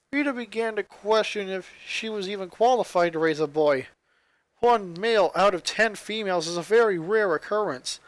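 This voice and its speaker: A man's voice: high-pitched, fairly bright tone, no echo, poor audio. A man reading a piece of fan fiction aloud as narrator, in scripted story style.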